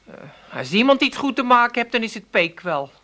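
An actress playing a sullen, stubborn daughter, curt and unimpressed, in a comic radio play. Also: female voice, a little high, sing-song, normal speed, fairly bright tone.

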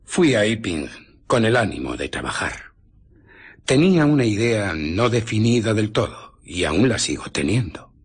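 A man with a Castilian Spanish accent playing an embittered, vengeful invisible scientist in a radio drama, brooding theatrically with pauses and breathy murmurs. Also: fairly low pitch, sing-song. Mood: intent, secretive.

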